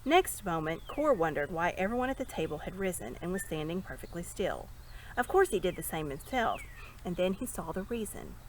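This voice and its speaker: A woman reading a story aloud in an expressive narrating style. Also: fairly low pitch, fairly quick, slight background noise. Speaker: storybook reader.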